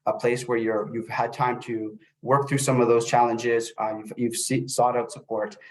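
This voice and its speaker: A middle-aged man, born in El Salvador and raised in North America, giving an informal webinar presentation in a halting way, often repeating words as he goes. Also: mid-range voice, rough recording. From born in El Salvador, grew up in North America. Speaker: webinar presenter, youth services manager.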